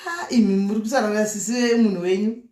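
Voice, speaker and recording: A woman's voice: mid-range voice, fast talker, a little mellow. A Zimbabwean woman, a native Shona speaker, hosting a live prank-call show in casual chat that mixes languages. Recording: echoey room.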